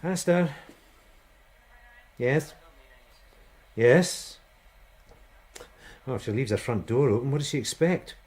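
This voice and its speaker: An actor playing a weary but genial police detective sergeant in a radio drama, with a wry manner. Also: male voice, medium pitch, slow, pauses often, slight background noise. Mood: harassed, weary. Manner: wry, animated, hesitant asides.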